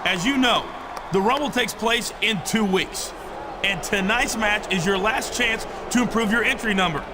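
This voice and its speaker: A man's voice: high-pitched, normal speed, crowd noise, background noise, lightly acted. A man playing a smug, obsequious wrestling management lackey, explaining things in scripted game dialogue.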